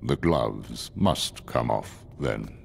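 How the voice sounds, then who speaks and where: A man voicing a solemn, menacing, cryptic older man in a video game, with gravelly, deliberate, ominous delivery. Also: very deep voice, lively intonation, slight background noise, heavily acted. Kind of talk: video game cutscene voice acting.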